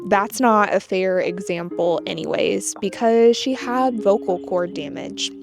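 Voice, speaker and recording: American woman with light vocal fry. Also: medium pitch, music in the background. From United States. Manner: measured, explanatory. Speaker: channel host. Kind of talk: scripted talk to camera.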